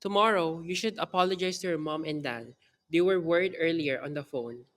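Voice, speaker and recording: A woman playing a low-voiced, teasing old grandmother. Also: a little fast, slight background noise, lightly acted. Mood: gently lecturing. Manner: teasing, soothing, storytelling. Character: teasing, chuckling old Filipino grandmother storyteller.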